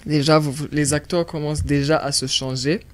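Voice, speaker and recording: A young man from Burundi, a film director, explaining his work in a radio interview in an animated way and repeating words while he thinks. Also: on the high side, a little fast.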